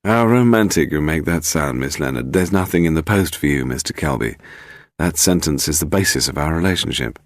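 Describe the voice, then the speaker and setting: Man acting a languid, charming wastrel, low-pitched. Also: animated, a little fast, dry recording, clean studio sound. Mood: teasing.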